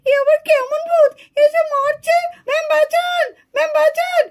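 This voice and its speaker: A woman's voice: very high voice, steady tone, normal speed. A woman voicing a frightened sleeper who fears ghosts in a children's puppet cartoon, wailing in alarm for help. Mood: panicked. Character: panicked sleeper crying for help, afraid of ghosts.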